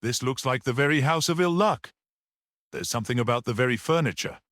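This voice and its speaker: A man voicing a modest, calm priest detective with a mild manner in a storybook reading. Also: mid-range voice, a little fast, no room sound, studio quality, voice pushed up, close to an everyday voice. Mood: musing. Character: modest, quiet, observant male priest detective.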